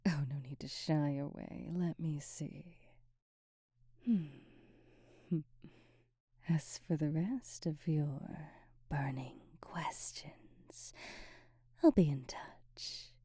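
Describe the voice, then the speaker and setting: A woman's voice: low-pitched, extremely slow, long pauses, warm tone, slightly rough, no echo, studio quality, exaggerated voice. A woman playing a sly, manipulative sorceress who advises a queen in an ASMR fantasy roleplay, teasing and insinuating in ornate, theatrical speech. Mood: silky, conspiratorial.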